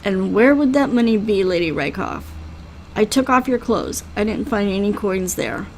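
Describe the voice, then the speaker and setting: A woman plays a grave male healer in a visual novel, stern and bitter yet caring. The delivery is measured and earnest. Faint noise sits behind the voice. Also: mid-range voice, darkish tone, lightly acted. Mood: dry. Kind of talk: visual novel read aloud in character.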